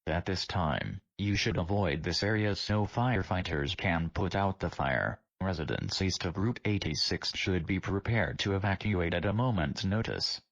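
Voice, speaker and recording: A man reading scripted emergency warnings as an announcer, formal and impersonal. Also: low-pitched. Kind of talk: scripted emergency alert narration. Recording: dull, lo-fi broadcast audio.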